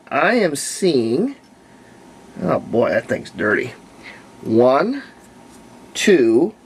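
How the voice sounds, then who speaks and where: Man thinking aloud, background noise. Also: medium pitch. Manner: thinks aloud in short, halting phrases. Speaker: hobbyist demonstrating antique radio repair. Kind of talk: casual hands-on narration while working. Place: room at home, indoors.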